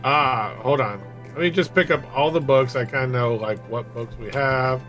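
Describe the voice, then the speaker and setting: A man's voice: mid-range voice, moderate pace, fairly bright tone, soft background music. A man reading stories aloud and chatting casually during a video game session. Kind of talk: storybook read-aloud and casual game chat.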